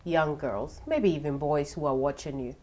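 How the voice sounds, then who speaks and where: A woman hosting a TV talk show, upbeat and animated. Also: deep voice, normal speed. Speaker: host.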